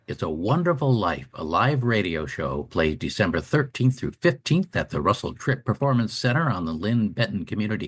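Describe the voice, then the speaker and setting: A man's voice: lowish voice, husky. A man co-hosting a radio show, reading scripted community calendar announcements.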